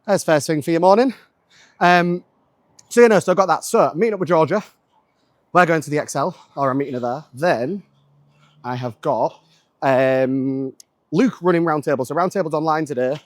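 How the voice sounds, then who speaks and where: A man's voice: on the high side, moderate pace, long pauses, dry recording, studio quality. A man from Manchester, a business founder chatting casually to camera in a rambling way, full of "um" and "like". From Manchester, England. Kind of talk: casual vlog chat.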